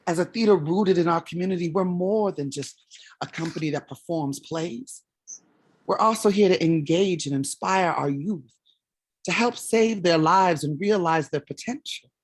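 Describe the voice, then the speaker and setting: A woman's voice: lowish voice, slightly rough, full character voice. Woman acting a blunt, impassioned theater company leader. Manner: blunt, emphatic speech-making. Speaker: actor in a staged reading. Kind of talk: staged play reading.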